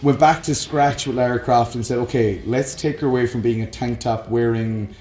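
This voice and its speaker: Male podcast host, medium pitch, keen and opinionated, casual and sweary, roomy sound with faint music behind. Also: steady tone, normal speed, darkish tone. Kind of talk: casual chat about games and films.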